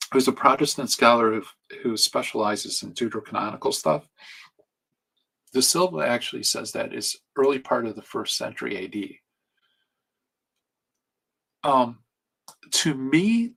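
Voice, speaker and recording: A man talking quietly and soothingly as he lectures on a live stream from home at night. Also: mid-range voice, gravelly, slightly rough, low-quality recording. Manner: hushed, soothing delivery. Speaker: streamer teaching on Bible canon. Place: home at night, family asleep nearby. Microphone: streaming mic.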